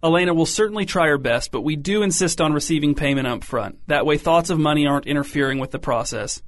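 A woman's voice: low-pitched, fast talker, no echo, studio quality, natural voice. A practical middle-aged mother who handles the money side of a psychic sitting, as a male narrator puts her on. She speaks firmly, forcing a brave tone. Audiobook reading. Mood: businesslike. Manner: firm, trying to sound brave.

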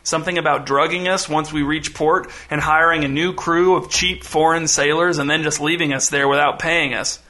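A man's voice: a little high, fairly even intonation, normal speed, hardly any pauses, pushed and bright. A man voicing a scheming, hedging would-be king in a story, speaking conspiratorially. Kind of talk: storybook character voice.

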